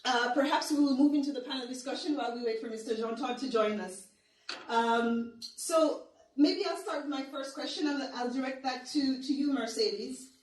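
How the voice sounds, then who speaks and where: A woman moderating a formal online panel, speaking in a halting, measured way. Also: high voice. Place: indoor room on an online call. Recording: very echoey, distant from the mic.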